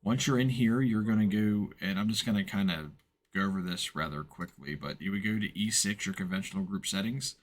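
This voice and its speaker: A man talks through an unscripted step-by-step software tutorial in an informal, matter-of-fact, explanatory way. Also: fairly low pitch, brisk. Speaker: instructor, software tutorial presenter.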